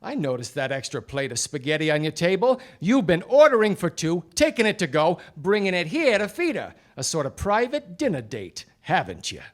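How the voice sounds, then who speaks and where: A confident 1940s radio gumshoe, laying out accusations with theatrical relish. His pitch sits a little high and swings widely through slangy monologues. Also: big pitch swings, moderate pace, few pauses. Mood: accusing. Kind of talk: 1940s radio play acting. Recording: live radio play before studio audience.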